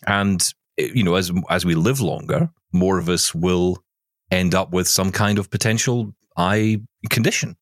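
A man's voice: low voice, measured pace, dry recording, studio quality. A man hosting a conversational interview, speaking emphatically and repeating phrases to stress his point. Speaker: show host interviewer.

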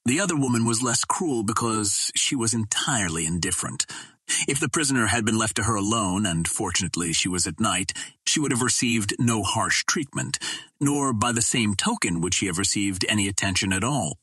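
A man narrating an old adventure novel in a measured, theatrical way, as a scripted dramatic reading. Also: on the low side, animated, bright, forceful tone, husky, no echo. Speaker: audiobook narrator.

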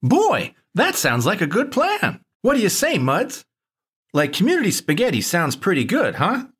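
A man's voice: high-pitched, huge pitch swings, normal speed, fairly bright tone, breathy edge, long reverb tail, pitched above the performer's own voice, full character voice. A man voicing a jittery, easily scared young guy with a laid-back way of talking. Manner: laid-back, says "like" a lot.

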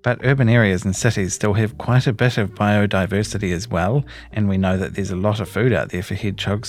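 A man reading a scripted news feature as narrator. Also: on the low side.

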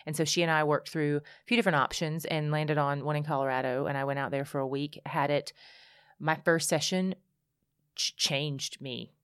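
A woman speaking candidly as a podcast guest, telling her story in animated bursts with frequent restarts. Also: low voice, moderate pace, clean tone, dry recording, studio quality. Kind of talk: casual conversation.

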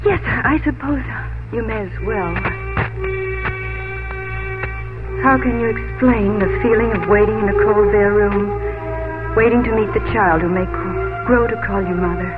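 An actress playing a tender-hearted, earnest woman in a 1950s radio drama, speaking with halting, emotional phrasing. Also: mid-range voice, lightly acted. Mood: nervous. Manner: emotional, halting delivery with repeated words. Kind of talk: 1950s radio drama acting with first-person narration. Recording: tinny old radio broadcast, loud music.

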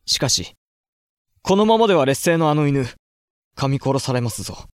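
Man acting an earnest, animated young samurai heir. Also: medium pitch, moderate pace, dry recording, clean studio sound, close to an everyday voice. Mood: deferential concern. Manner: formal, emotional samurai speech. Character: earnest, frustrated young samurai heir, dutiful but resentful. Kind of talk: period audio drama acting.

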